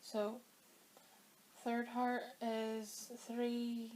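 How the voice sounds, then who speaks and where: A woman's voice: on the high side, little pitch movement, very slow, darkish tone, a little hiss or hum, rough recording. A woman vlogging about her knitting in a chatty, rambling way, her throat dry as she comes down with a cold. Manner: chatty and rambling, with many fillers. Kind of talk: casual chat to camera.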